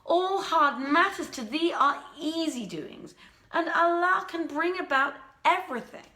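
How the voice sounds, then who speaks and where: A woman's voice: very high voice, expressive, a little slow, pushed and bright, pitched above the performer's own voice, full character voice. A woman voicing a lovesick young prince in a storybook reading, with impassioned, archaic declamation. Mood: earnest, pleading. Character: lovesick young prince, tearful, fervent and desperate.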